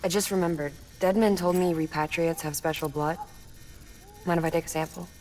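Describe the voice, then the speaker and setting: A woman's voice: deep voice, fairly even intonation, a little fast, darkish tone, a little hiss or hum, close to an everyday voice. An actress playing a reserved, candid and caring woman scientist in a video game cutscene. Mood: cool, matter-of-fact. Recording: music behind the voice.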